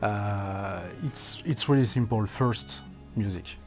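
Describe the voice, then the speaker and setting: A man representing a cable company in a trade show interview, speaking haltingly with many fillers as he searches for words. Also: fairly low pitch, dull audio, soft background music.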